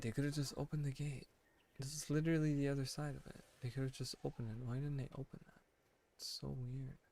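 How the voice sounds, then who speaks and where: A young man in his late twenties talks loosely while he plays a game on stream, his pitch sitting in an ordinary middle range. He wanders from thought to thought, fills the gaps with "like" and "you know", and corrects himself mid-sentence. A faint background hum runs under his voice. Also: creaky. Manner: rambling, full of "like" and "you know"; thinking aloud, self-correcting. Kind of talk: casual chat while gaming.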